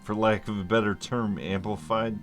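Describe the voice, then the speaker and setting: A man giving an informal explainer as a vlogger, speaking in a halting, deliberate way with long hesitations and at times mildly slurred speech. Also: fairly low pitch, steady tone, faint music behind. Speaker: vlogger sharing a personal medical journey. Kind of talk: informal explainer, part reading notes, part ad-libbed.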